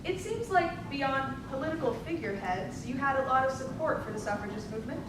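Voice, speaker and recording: A woman's voice: sits high, expressive, a little fast. A woman playing an inquisitive interviewer who questions a suffragist in a staged interview. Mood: probing, interested. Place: live presentation in a library room. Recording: very echoey, noisy room from far off.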